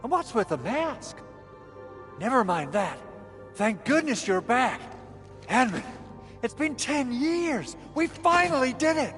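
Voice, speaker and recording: A melodramatic engineer in a video game, high for a man and swinging widely in pitch. He is effusive and easily flustered, heard in a long reverb with faint music underneath. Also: big pitch swings, takes it slowly, long pauses, a bit bright, exaggerated voice. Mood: startled, excited. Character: excitable, melodramatic male chief engineer.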